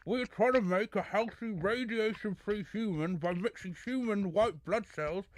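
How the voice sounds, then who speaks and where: A man's voice: high-pitched, normal speed, lightly acted, low-quality recording. A man playing an exasperated scientist absorbed into a blob creature, fussy and correcting, in a comedy audio drama.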